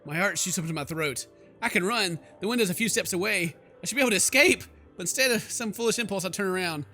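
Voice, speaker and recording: A man's voice: high voice, fast talker, sharp tone, soft background music. A man hosting a horror game playthrough, reading text aloud and reacting with theatrical, sarcastic, exclamatory commentary. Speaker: gaming video host.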